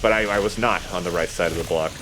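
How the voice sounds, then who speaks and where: A man's voice: deep voice, fast, background noise. A man giving casual, explanatory commentary on a video game in a conversational way. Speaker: let's play gamer and commentator.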